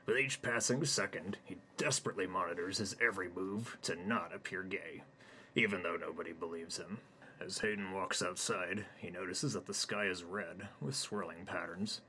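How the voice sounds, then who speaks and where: A man with a low, bright and forceful voice narrates his own absurd comic story in a deadpan, rambling way. A faint hum sits behind him. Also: normal speed.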